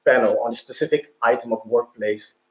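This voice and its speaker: A man with a mid-pitched voice hosts a formal webinar. His phrasing is courteous and measured. The room around him is audibly echoey. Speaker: panel host.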